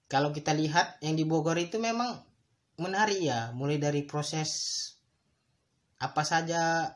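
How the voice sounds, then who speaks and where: A man's voice: fairly high pitch, unhurried. A man hosting a solo podcast. He explains his points in a thoughtful, instructive way, speaks directly to his listeners, and sometimes stretches words for emphasis. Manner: addresses listeners directly, with a moralizing tone. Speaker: podcast host.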